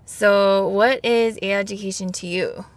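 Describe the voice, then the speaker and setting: A woman's voice: mid-range voice, steady tone, unhurried. A woman interviewer asking short, prompting questions in a friendly exchange.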